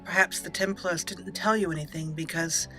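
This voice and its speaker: Bright-voiced woman narrating a roleplay let's play. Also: fairly low pitch, steady tone, normal speed, faint music behind. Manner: reads character dialogue aloud expressively. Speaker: gaming channel host.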